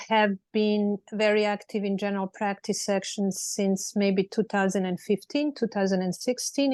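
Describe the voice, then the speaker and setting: A woman's voice: medium pitch, no echo. A woman in her forties from Serbia with a Serbian accent, speaking as an attorney on a panel over a video call, friendly and full of fillers and restarts.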